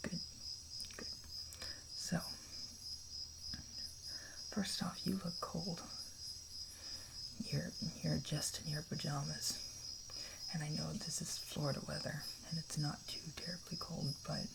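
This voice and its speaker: A man playing a kind, protective camper in an ASMR roleplay, speaking in a soft, breathy half-whisper with a hesitant, reassuring manner. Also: a little high, level tone, takes it slowly, long pauses, very noisy, exaggerated voice. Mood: soothing, reassuring. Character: kind, protective young male camper comforting a stranger.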